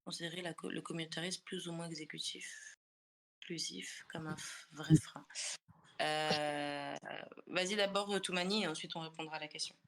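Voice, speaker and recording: A woman's voice: low-pitched, steady tone, moderate pace, a bit bright. A woman from the Paris suburbs hosting a casual online group discussion, thoughtful and searching for her words as she goes, on a voice call from home. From France, Paris suburbs. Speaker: host.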